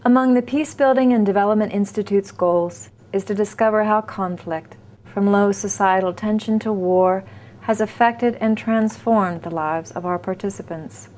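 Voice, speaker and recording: A woman reading a scripted narration in a smooth, even manner. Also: mid-range voice, moderate pace.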